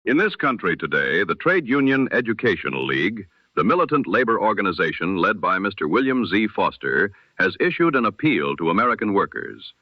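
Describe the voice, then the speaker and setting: A man with a lowish voice delivers the evening news in a measured, formal cadence, like an old-time radio announcer. His pitch rises and falls a lot as he stresses each phrase. The sound is dull and dry, with no room around it. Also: big pitch swings, no echo.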